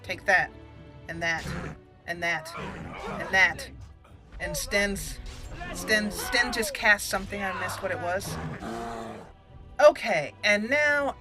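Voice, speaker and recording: A woman hosting a gaming channel, giving casual, playful let's play commentary in an excitable, chatty way. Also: medium pitch, takes it slowly, long pauses. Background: game music under the voice.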